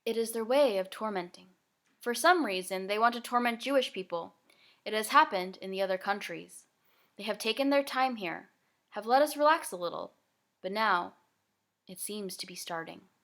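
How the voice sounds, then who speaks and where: A woman's voice: mid-range voice, animated, normal speed, fairly bright tone. A woman voicing a kindly, affectionate young male family friend in a children's novel, explaining things seriously and reassuringly. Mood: grave. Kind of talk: children's novel read-aloud.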